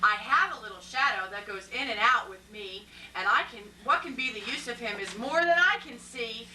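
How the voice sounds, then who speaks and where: A woman's voice: a little high, animated, normal speed, sharp tone. A woman voicing a plaintive little schoolgirl in an amateur puppet show. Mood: earnest reciting. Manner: childlike, plaintive. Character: sad, eager little schoolgirl puppet, third grader. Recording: echoey, distant live recording with background noise.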